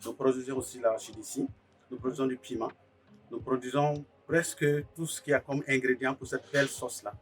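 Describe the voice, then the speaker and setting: A man's voice: medium pitch, level tone, fairly quick. A man from Burkina Faso, an agricultural technician giving a hesitant interview testimony. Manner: hesitant, lots of filler sounds. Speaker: agricultural technician, farm co-founder. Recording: somewhat distant mic, faint music under.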